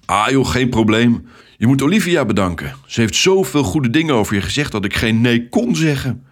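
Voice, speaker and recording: A man voicing a cheerful, energetic, talkative male traffic controller in a children's story, with a hearty, encouraging manner. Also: medium pitch, normal speed.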